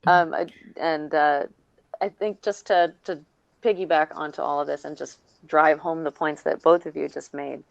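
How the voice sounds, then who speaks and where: A woman with a low, creaky voice speaks casually in a panel discussion. The recording is dry and a little muffled. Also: steady tone, moderate pace, no room sound. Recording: slightly dull, lo-fi sound.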